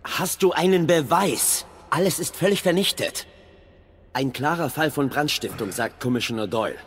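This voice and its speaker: An actor playing a measured, sceptical Victorian knight commander in an audio drama, husky and formal, with deliberate delivery. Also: male voice, fairly high pitch.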